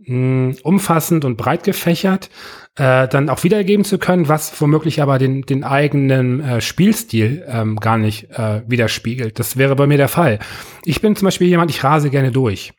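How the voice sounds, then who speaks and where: Hesitant German-speaking interviewer, many fillers. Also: male voice, mid-range voice. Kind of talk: casual interview.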